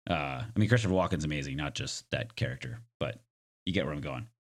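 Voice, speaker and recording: Male solo podcaster, low-pitched and smoky with slight hoarseness; conversational, reflective, self-deprecating. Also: animated, fast talker. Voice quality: raspy, smoky. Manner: self-deprecating, joking asides. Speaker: podcast host.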